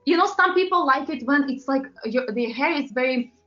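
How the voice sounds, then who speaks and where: A woman's voice: high-pitched, expressive, brisk, sharp tone. A woman from Latvia, a pop singer, chatting as an interview guest, with frequent fillers and laughs. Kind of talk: casual interview chat. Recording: roomy, dull lo-fi sound with faint music.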